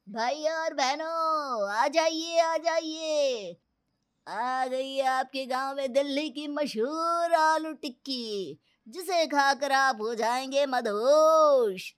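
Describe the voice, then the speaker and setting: A boastful fairground snack hawker, performed by a woman in a children's storybook tale. The voice is very high and slow, stretching out each showy call. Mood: boastful, inviting. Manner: drawn-out hawking, boastful. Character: showy male fairground snack vendor, boastful crowd-caller. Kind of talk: acted children's moral story.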